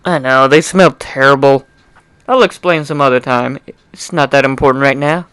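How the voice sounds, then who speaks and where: A man's voice: mid-range voice, steady tone, moderate pace, a bit bright, clear, resonant tone, dry recording. A man doing an informal dramatic story reading with casual asides, stumbling over words and correcting himself. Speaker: amateur fan fiction reader.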